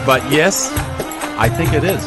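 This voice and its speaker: A man's voice: a little high, expressive, measured pace, music in the background. A man giving jokey live parade commentary alongside co-hosts, describing things in a gushing, mock-earnest way.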